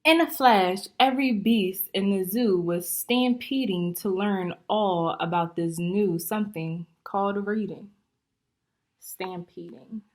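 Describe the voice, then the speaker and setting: A woman reads a picture book to her students as a teacher would. Her pitch sits in the middle and her pace is very unhurried. She is deliberate and instructive, explaining and questioning as she goes. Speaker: teacher reading aloud to students.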